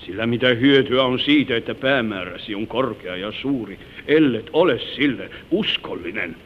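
A gentle old grandfather played by a man reminisces tenderly and solemnly, now and then drifting into earnest moral advice. He speaks as in a vintage radio drama, through a thin, phone-like recording with light hiss. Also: mid-range voice, expressive, close to an everyday voice. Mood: earnest, preaching. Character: gentle old grandfather telling his life story to granddaughter. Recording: tinny, noisy old radio broadcast recording.

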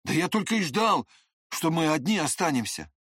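A man voicing a spiteful, pushy young swindler with an irritable, scornful, excitable manner in an audiobook. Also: a little high, moderate pace, breathy edge, dry recording, clean studio sound, pitched above the performer's own voice. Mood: eager. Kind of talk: dramatized audiobook character voice.

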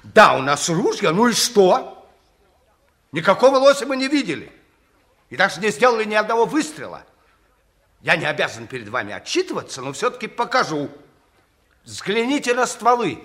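A haughty, offended hunter in old radio drama style. The voice is very high, swoops dramatically and has a creaky edge, full of blustering self-righteousness. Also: male voice, very animated, normal speed, long pauses, fairly bright tone, heavily acted. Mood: scornful. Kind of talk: 1960s Russian radio play acting.

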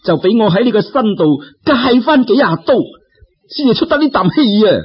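A man's voice: very high voice, sing-song, brisk, husky, lo-fi sound, voice pushed up, exaggerated voice. A man voicing a fierce, vindictive shrewish wife in a Cantonese storybook tale, with emphatic delivery. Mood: vengeful anger.

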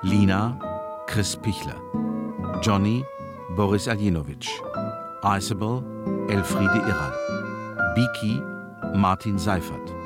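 Guy announcing radio play credits, slow n low, loud guitar music under him. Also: lively intonation.

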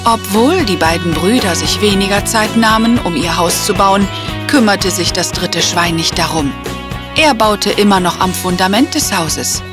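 Female narrator, children's fairy tale, expressive rise and fall, music bed underneath. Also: mid-range voice, normal speed. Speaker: storyteller, narrator.

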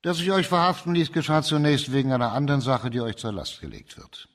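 A male actor as a shrewd magistrate in a radio crime play. He speaks at a middling pitch in a measured, formal way. He sets out his reasoning step by step, composed and methodical. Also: animated, lightly acted. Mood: firm, deductive. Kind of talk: German radio play acting.

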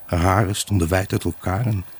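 A man's voice: deep voice, steady tone, a little fast, husky. A man acting a troubled, brooding husband who tells of his unhappy marriage in a radio play monologue. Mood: reflective, weary. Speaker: actor narrating a radio drama. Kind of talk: dramatic first-person monologue in a Dutch radio play.

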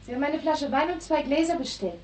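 An actress in a 1960s radio play, playing a cheeky, self-assured and frank young woman in her twenties with a husky voice. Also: high-pitched, fast talker, a little mellow, close to an everyday voice. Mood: confident. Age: 20s. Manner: teasing, frank.

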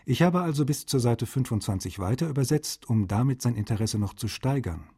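A man's voice: mid-range voice, lively intonation, a little fast, mellow, breathy edge. An actor playing a cordial literary translator, reading his letters aloud in a radio documentary. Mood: cordial, pleased.

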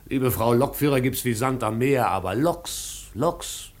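A man's voice: medium pitch, expressive, a little slow, lightly acted. A man acting a blunt, bitter postwar official in a 1950s German radio drama, speaking in emphatic, rhetorical phrases. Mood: dismissive.

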